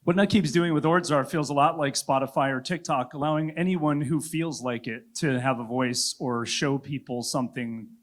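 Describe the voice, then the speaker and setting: A man's voice: mid-range voice, moderate pace, few pauses. Man moderating a conference panel. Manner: reflective, loosely rambling with filler likes.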